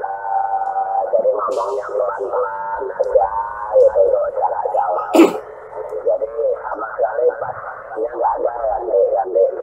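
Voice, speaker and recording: An Indonesian man, a radio collector, chatting haltingly and repeating phrases over a treble-heavy CB radio link with no bass. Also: flat delivery, narrow, tinny audio, over loud music. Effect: CB radio transmission. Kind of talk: casual two-way radio chat.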